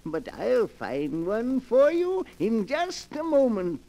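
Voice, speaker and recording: Very slow, high-pitched sinister storyteller. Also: male voice, huge pitch swings, vocal fry, heavily acted. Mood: taunting, menacing. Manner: theatrical, drawn-out, gleefully menacing. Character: sinister cackling old male keeper of a book of horror tales. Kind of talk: 1940s radio horror narration. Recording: noisy 1940s radio recording.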